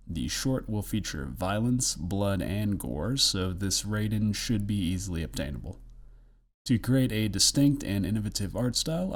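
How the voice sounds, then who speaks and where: A young man, a student, reading a project presentation aloud in a steady, scripted way, a bit hoarse from the flu. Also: lowish voice. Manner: steady, scripted, list-like delivery.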